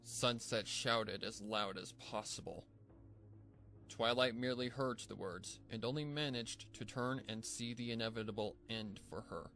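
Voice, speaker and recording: Man, low voice, dramatic story narrator, measured theatrical delivery, background music. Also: bright, forceful tone. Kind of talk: dramatic scripted fan fiction narration. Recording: music bed behind the voice.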